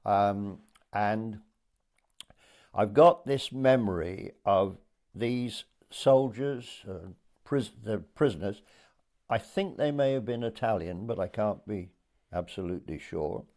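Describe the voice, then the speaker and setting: An Englishman in his eighties from Dorset, recalling his childhood for an oral history interview in a hesitant storytelling way with pauses. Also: lowish voice, a little mellow. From Dorset, England. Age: 80s.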